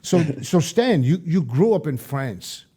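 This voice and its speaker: A man with a mellow, slightly higher voice interviews a guest as podcast host. He keeps it conversational, often doubling back on words while putting questions together. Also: big pitch swings, unhurried, breathy edge. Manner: repeats words as he forms questions. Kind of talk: casual interview chat.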